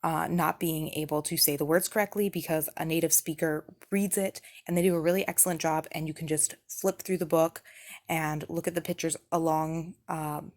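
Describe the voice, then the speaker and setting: Low-pitched woman with a creaky voice. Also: fairly even intonation, normal speed, a little mellow. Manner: explanatory, conversational. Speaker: parent reviewing children's subscription boxes. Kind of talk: casual product review vlog.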